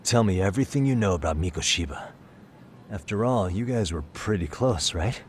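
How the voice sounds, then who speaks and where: A sardonic game detective with a lowish voice, confident and stern as he needles people. Clear ambient noise runs behind him. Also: expressive, normal speed. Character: cool, sardonic adult male detective. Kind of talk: video game voice acting.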